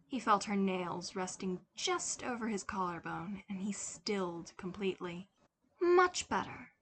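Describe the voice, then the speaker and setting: A woman reading scripted fiction as a narrator, expressively and dramatically, with pauses. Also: mid-range voice, a bit bright. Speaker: audiobook narrator. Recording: clear background noise.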